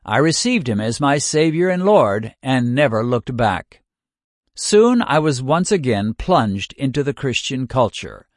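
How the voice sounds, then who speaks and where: Older man, scripted reading, measured cadence, dry close sound, middle pitch. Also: big pitch swings, no room sound. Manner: measured, deliberate cadence with long lists. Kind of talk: scripted first-person reading.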